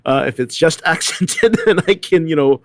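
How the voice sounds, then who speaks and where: A middle-aged man talking casually in a panel discussion, telling anecdotes in a halting, rambling way. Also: a little high, lively intonation, dry recording, clean studio sound. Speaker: actor, panelist.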